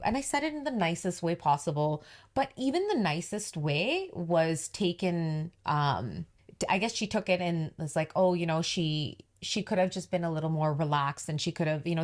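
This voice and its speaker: A woman with a deep voice, co-hosting a podcast and telling a story in casual chat while acting out the quoted dialogue. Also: expressive, normal speed. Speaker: podcast co-host, business owner.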